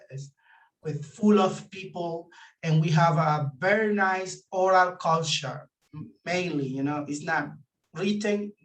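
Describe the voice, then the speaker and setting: A man with a Colombian accent, a guest speaker on a video call, talking in a halting way with many fillers. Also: a little high. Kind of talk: casual conversational explanation. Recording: distant mic on a video call.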